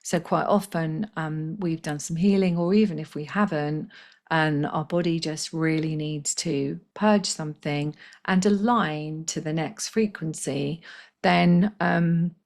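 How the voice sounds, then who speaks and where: A woman with a slightly croaky voice, hosting a live spiritual healing session in a gentle, chatty way and reading out viewers' comments. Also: low-pitched, steady tone, a little slow. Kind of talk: casual live-stream talk.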